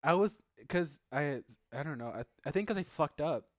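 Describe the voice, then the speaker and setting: A young man telling stories in a casual, sweary chat with friends, halting and defensive as he banters. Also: on the high side, animated, no room sound, highs cut off. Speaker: podcast host.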